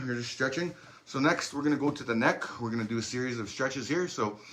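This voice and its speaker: A man leading a warm-up and stretching class in a relaxed, encouraging, chatty way, adding small asides as he goes. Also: mid-range voice. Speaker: fitness instructor. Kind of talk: guided workout instruction.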